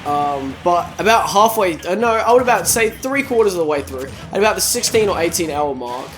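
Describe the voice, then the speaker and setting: A man's voice: sits high, animated, a little fast, background noise. A young man co-hosting a gaming channel, chatting casually, giggling and trailing off into mumbles. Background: video game music.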